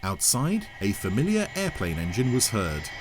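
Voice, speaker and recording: A man's voice: fairly low pitch, wildly swooping pitch, moderate pace. A man narrating a scripted audio story in the role of storyteller. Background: music.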